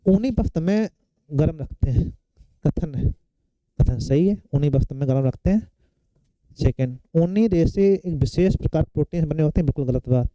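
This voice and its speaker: A man's voice: a little high, lively intonation, moderate pace, warm tone, no echo, rough recording. A man giving an exam-prep science lecture, explaining step by step and often checking in with short tag questions. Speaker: science teacher for competitive exam students. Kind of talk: classroom lecture working through exam questions.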